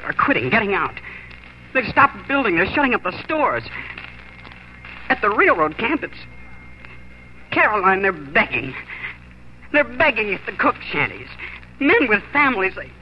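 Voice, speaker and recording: A beaten-down young farmer husband, agitated and halting, old radio drama, dull noisy recording. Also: male voice, high-pitched, big pitch swings. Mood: despairing. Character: desperate, defeated young homesteader husband and father. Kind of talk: 1940s radio drama acting.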